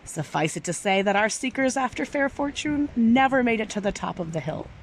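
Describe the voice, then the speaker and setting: A woman's voice: a little high, lively intonation, a little fast. A woman reading a story aloud expressively, with careful, theatrical phrasing. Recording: clearly noisy background.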